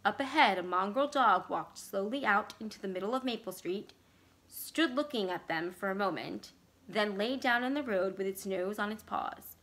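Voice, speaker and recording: A woman's voice: mid-range voice, normal speed, a bit bright. A middle-aged woman reading a story aloud as narrator. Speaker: library staff reader. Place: small furnished room indoors.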